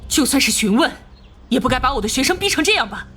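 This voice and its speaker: A woman playing a stern, protective school principal in an animated crime drama, indignant and authoritative. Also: sits high, fast talker, a little hiss or hum, full character voice.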